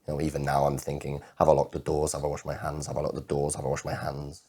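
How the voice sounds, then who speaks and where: A man performing a dramatic monologue as an anxious, lovelorn man with obsessive compulsive disorder, halting and repeating his words. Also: a bass voice, monotone, dark tone, breathy edge, heavily acted. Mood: wistful, hushed. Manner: halting, stammering repetition of words. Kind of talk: dramatic monologue performance of spoken-word poetry.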